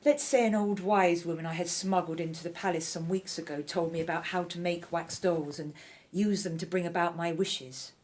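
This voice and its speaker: A woman playing a ruthless, scheming royal wife of ancient Egypt in an audio drama, speaking in an imperious, deliberate, menacing way. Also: lowish voice, steady tone, darkish tone, natural voice. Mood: scheming, commanding.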